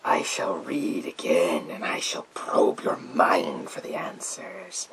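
A man voicing a menacing psychic villain in a gas mask, in a theatrical, drawn-out ASMR roleplay whisper with a creaky edge. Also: low-pitched, huge pitch swings, full character voice. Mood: probing, sly. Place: small indoor room with bookshelves.

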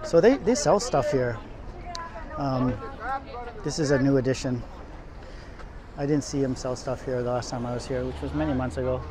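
A man's voice: medium pitch, moderate pace, pauses often. A man narrating a casual walking tour outdoors on city streets, rambling along with many fillers and restarts while he walks and films. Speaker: vlogger filming a walking tour. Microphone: camera held while walking, speaker off screen. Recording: outdoor street with crowd noise. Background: crowd chatter and passing traffic.

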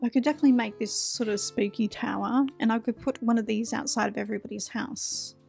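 A woman's voice: on the high side, fairly even intonation, quick pace, creaky, darkish tone, soft background music. A woman in her forties chatting casually and self-deprecatingly while she plays a game, thinking aloud. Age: 40s. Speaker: let's play host. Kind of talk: casual gameplay commentary.

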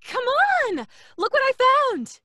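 Brash young radio-play wisecracker, wildly swooping pitch. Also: female voice, huge pitch swings, slow pace, pushed and bright, no echo, clean studio sound, exaggerated voice. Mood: brash, teasing. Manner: breezy, slangy, theatrical. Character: brash, wisecracking, high-spirited young woman hiker. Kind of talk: old-time radio drama acting.

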